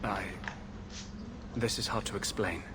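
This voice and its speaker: A man playing a tormented rebel mage in a video game, righteous and brooding, speaking with earnest, halting intensity. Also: lowish voice, noisy background, lightly acted. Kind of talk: dramatic video game dialogue acting.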